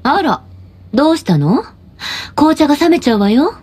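A woman playing a poised, easygoing shop boss in an anime-style game, with a relaxed, elegant manner. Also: high voice, normal speed. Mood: indulgent, teasing. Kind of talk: Japanese anime game acting.

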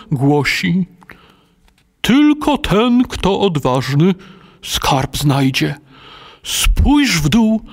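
An actor playing a grateful, dignified elderly knight in an audio play, speaking in a measured, ceremonious way. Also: male voice, high-pitched, breathy edge, full character voice. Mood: portentous. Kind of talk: dramatic audio play acting.

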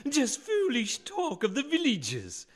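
A high, wildly swooping little old man from a radio play, voiced by a woman. He is coy, giggly and childishly wilful, drawing out his words slowly. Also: huge pitch swings, exaggerated voice. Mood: coy, teasing. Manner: giggly, hesitant, repeats phrases.

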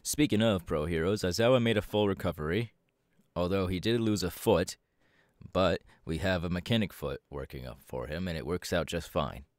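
A man playing a proud, laid-back superhero mentor in an ASMR audio roleplay, talking casually with drawling, hesitant pauses. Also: sits low, dry recording, clean studio sound, lightly acted. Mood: casual, explaining.

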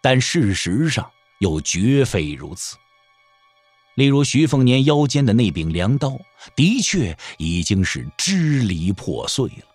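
A man's voice: medium pitch, sing-song, a little slow, a little mellow, breathy edge, no room sound. A man narrating a martial-arts audio drama in a scripted, dramatic storytelling style. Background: background music.

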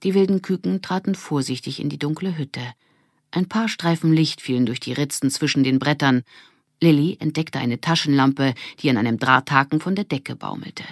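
A woman narrating a children's audiobook in an expressive storytelling manner. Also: low voice, normal speed, dry recording, clean studio sound.

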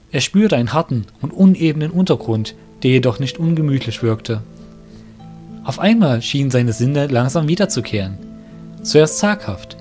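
Male fantasy narrator over clear music. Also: mid-range voice. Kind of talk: scripted fantasy audio drama narration.

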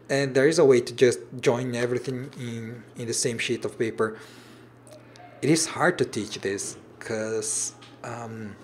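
A man's voice: medium pitch, a little hiss or hum. Brazilian-accented man chatting on a livestream. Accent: Brazilian Portuguese. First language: Portuguese. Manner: hesitant, restarts phrases while searching for English words. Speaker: origami designer hosting a livestream. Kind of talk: casual livestream chat reading viewer comments. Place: home room with shelves, indoors.

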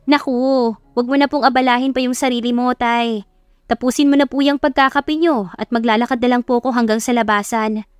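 A woman's voice: on the high side, steady tone, fairly quick, smooth, no room sound, clean studio sound, lightly acted. A woman with a Filipino accent voicing a dutiful, playful young college woman, polite and affectionate, in an audio story. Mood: gently insisting.

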